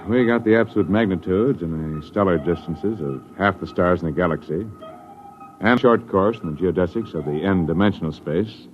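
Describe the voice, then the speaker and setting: An actor playing a gruff, scornful senior spaceship officer with curt, clipped delivery in a 1950s radio drama. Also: male voice, low voice, moderate pace, close to an everyday voice. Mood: scornful, exasperated. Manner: curt, clipped commands and sarcasm. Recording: muffled, noisy old radio recording.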